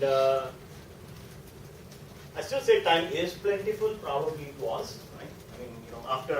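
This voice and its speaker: A South Indian man gives an informal lecture in a conversational, explanatory way, often adding a short tag question. His voice sits a little high for a man and carries a South Indian accent. He is recorded at some distance from the microphone in an echoey room, with faint noise behind him. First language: a South Indian language. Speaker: guest lecturer.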